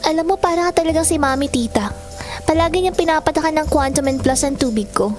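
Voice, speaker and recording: A woman plays a Filipino schoolgirl in an audio drama. Her voice is high and youthful, courteous on top with a bitter, resentful streak underneath. A light music bed runs behind her. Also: quick pace, natural voice. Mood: polite, sweet. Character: resentful schoolgirl daughter, polite outwardly, bitter inside.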